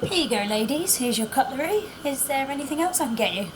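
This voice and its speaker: A woman's voice: high voice, animated. An actress playing a polite, eager cafe waitress in an audio drama, with cafe noise behind her. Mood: polite, cheerful. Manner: polite, brisk service.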